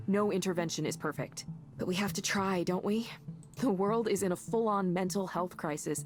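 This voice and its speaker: A woman's voice: mid-range voice, a little mellow, natural voice. A woman acting an earnest, idealistic tech worker in a visual novel game, speaking in a conversational, impassioned way. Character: earnest, idealistic woman defending her mental-health tech work. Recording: music behind the voice.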